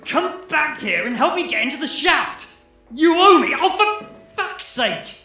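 A man's voice: very high-pitched, sing-song, unhurried, heavily acted. Echoing, irritable man acting a researcher underground. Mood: irritated. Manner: exclamatory, swearing, dramatic. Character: male geology researcher, irritable, spiteful, foul-mouthed. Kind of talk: radio drama acting. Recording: echoey cavern reverb under loud music.

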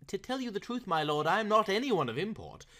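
A man's voice: high-pitched, sing-song, fairly quick, pushed and bright, pitched above the performer's own voice, exaggerated voice. A man voicing a meek social climber in a storybook, humble, easily flustered and eagerly deferential. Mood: humble, nervous.

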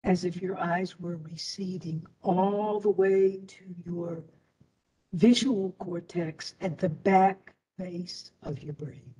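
A woman teacher leading a guided meditation in a soothing, deliberate way, leaving long pauses. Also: on the low side, steady tone, dark tone. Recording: distant microphone.

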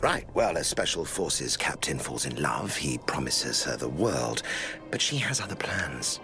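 Gravelly, deep, theatrical sardonic soldier. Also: male voice, big pitch swings, measured pace, full character voice. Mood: mocking. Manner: dry, theatrical, mocking. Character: sardonic special forces soldier, theatrical storyteller. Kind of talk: video game cutscene acting. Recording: loud music over the voice.

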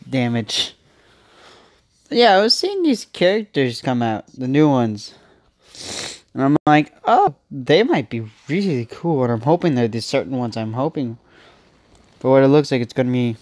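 Young guy, stuffy nose, rambly gameplay talk, middle pitch, dry close mic. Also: a little mellow, no echo. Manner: rambling, thinking aloud with many restarts. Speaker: gaming channel host. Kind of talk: casual gameplay commentary.

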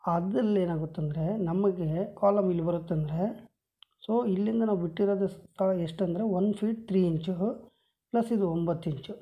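Dark-voiced woman explaining plans. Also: medium pitch. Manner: plain step-by-step explanation, many measurements. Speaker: home plan explainer. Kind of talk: explaining a floor plan with a pen. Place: at a table over paper plans.

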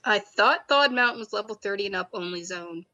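A woman's voice: mid-range voice, animated, brisk, bright, forceful tone, muffled recording. A woman reading a visual novel's inner monologue aloud in a wry, chatty way. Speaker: game narrator and player.

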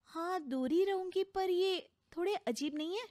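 A woman's voice: extremely high pitch, a little slow, a little mellow, no room sound, studio quality, pitched above the performer's own voice. A woman playing a bold young married woman in a cartoon story, pointed and determined. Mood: indignant. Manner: pointed, assertive. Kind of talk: Hindi cartoon story acting.

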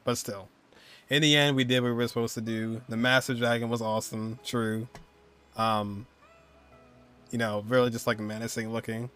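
A man's voice: medium pitch, little pitch movement, normal speed, fairly bright tone, clear, resonant tone. A man in his twenties giving casual, musing reaction commentary as a game streamer. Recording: game music behind the voice.

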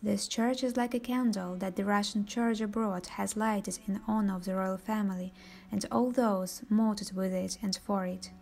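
A woman giving scripted documentary narration in an even, measured reading voice, recorded as a studio voice-over. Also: mid-range voice, vocal fry, slight background noise.

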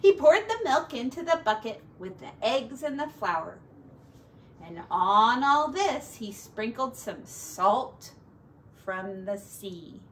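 A middle-aged woman, a teacher reading a picture book to children in an expressive, deliberate way, recorded indoors from a few feet away. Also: on the high side. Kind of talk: animated children's storybook read-aloud. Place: small home room, indoors. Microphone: camera a few feet away. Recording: distant camera mic, faint background noise.

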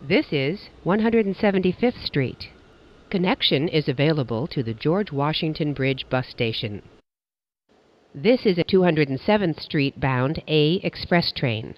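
A man's voice: on the high side, lively intonation, a little slow, highs cut off. A man reading scripted transit announcements as a train announcer, in formal, segmented phrasing.

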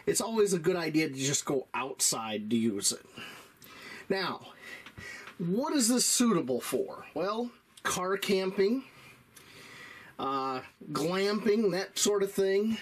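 A middle-aged man explaining things in a casual, folksy, deliberate way, like a hands-on product reviewer. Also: sits high, very animated, breathy edge.

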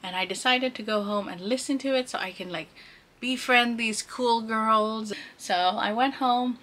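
A woman's voice: on the high side, fairly even intonation, a little slow, fairly bright tone. A woman in her thirties chatting casually as a vlogger, in an informal, rambling way. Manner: chatty, informal, frequent use of "like". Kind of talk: casual chatty vlog talk.

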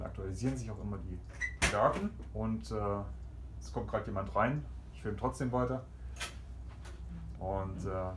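A man speaking off the cuff in a casual, explanatory way, filming by hand in a small indoor cabin as he shows how something works. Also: lowish voice. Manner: explanatory, improvising while operating the screen. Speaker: travel vlogger presenting a cabin TV system. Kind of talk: casual unscripted walkthrough commentary. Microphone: handheld camera or phone, behind the lens. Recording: clear background room noise.

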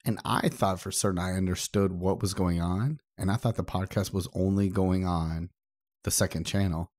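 Male co-host, deep voice, casual podcast banter, very dry recording. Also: fairly even intonation, normal speed, darkish tone, no echo.

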